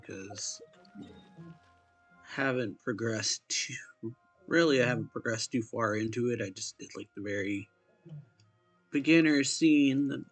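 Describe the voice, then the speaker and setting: Male gamer, deeper voice, very slow and low-key, muttering casually, faint music underneath. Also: slightly rough, rough recording. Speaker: gaming streamer. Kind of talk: casual let's play commentary.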